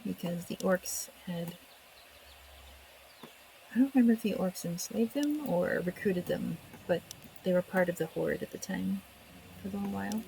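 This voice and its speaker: A woman's voice: on the low side, moderate pace, vocal fry, pauses often, mellow, a little hiss or hum, poor audio. A woman let's player giving casual video game commentary with hesitant, musing asides. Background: faint game music.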